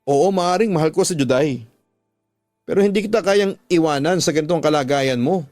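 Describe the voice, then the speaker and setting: A man's voice: on the high side, moderate pace, darkish tone, no room sound, clean studio sound, soft background music, close to an everyday voice. A man playing an unfaithful husband in a radio drama, guilty and earnest, pleading yet resolute. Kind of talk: Tagalog radio drama acting.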